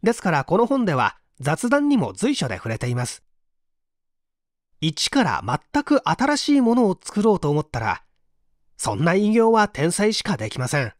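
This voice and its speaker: Book narrator, scripted read, measured and clearly phrased, animated intonation. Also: mid-range voice, no room sound, clean studio sound. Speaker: audiobook narrator.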